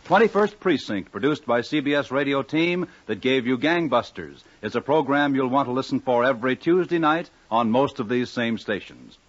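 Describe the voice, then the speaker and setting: Male American announcer, 1950s radio style, expressive medium-pitched read, dull vintage recording. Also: moderate pace, few pauses.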